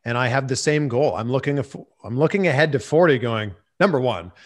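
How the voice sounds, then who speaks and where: A man's voice: medium pitch, fairly quick. A man in his late thirties hosting a casual, conversational interview. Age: late 30s. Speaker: interview host.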